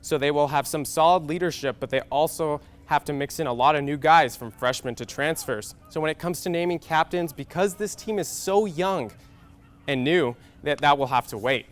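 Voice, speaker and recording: A young American man in his twenties anchoring a scripted TV sportscast with game highlights. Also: moderate pace. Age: 20s.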